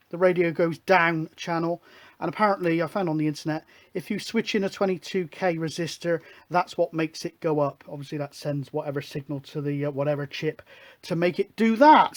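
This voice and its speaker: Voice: medium pitch, a little mellow. A hobbyist presenter with a mid-range voice talks through a technical demonstration. The delivery is casual and chatty, like someone working things out aloud at the bench. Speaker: hobbyist radio show host. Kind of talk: informal hands-on technical demonstration.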